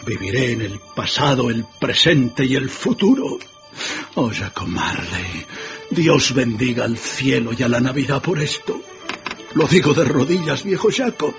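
A repentant old miser in a radio drama. The voice is emotional and exclamatory, swinging widely in pitch. The recording is dull, with music clearly underneath. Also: male voice. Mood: relieved, grateful. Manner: exclamatory, emotional, repeats words. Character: repentant old male miser, excitable and fervent. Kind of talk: theatrical radio drama acting. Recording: dull band-limited audio, music underneath.

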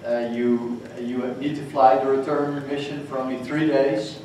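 A man speaking on a conference panel, halting with frequent restarts. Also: medium pitch, fairly even intonation, dark tone, slight background noise. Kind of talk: panel discussion. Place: hotel conference room, panel table. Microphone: table mic, recorded from far off. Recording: echoey hall recorded from far off.

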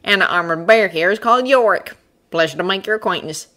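A woman's voice: mid-range voice, quick pace, bright, forceful tone, close to an everyday voice. A woman voicing a plain-spoken, polite but argumentative Texan man, an aeronaut, in a storybook reading. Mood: courteous.

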